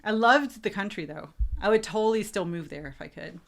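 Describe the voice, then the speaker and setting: A woman's voice: mid-range voice, fairly quick, vocal fry, fairly bright tone. A woman from the American South with a Southern accent, hosting a casual chat and talking in a chatty, laughing way. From Southern United States. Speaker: host.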